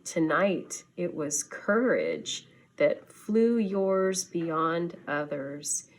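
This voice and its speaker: A woman voicing a kindly old Santa who speaks softly and solemnly in a children's storybook. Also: on the low side, very animated, full character voice. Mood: gentle, solemn. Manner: soft, slow, solemn whispering. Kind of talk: children's storybook read-aloud.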